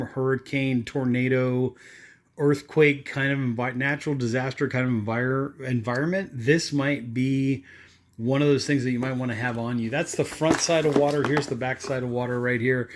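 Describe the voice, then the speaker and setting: A man giving a casual, unscripted product demonstration in a rambling, offhand way, often checking in with tag questions and repeating catchphrases. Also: mid-range voice. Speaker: product reviewer.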